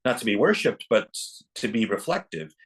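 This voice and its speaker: A man speaking as a film director being interviewed, reflective and halting, often restarting his thoughts as he goes. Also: medium pitch, very animated, fairly bright tone, slightly rough.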